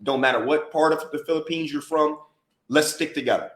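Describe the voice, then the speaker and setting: A man's voice: on the high side, fairly quick. American guy co-hosting a podcast, emphatic, repeats stuff, roomy echo. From United States. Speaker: podcast co-host and DJ. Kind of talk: casual podcast chat. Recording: roomy echoey podcast audio.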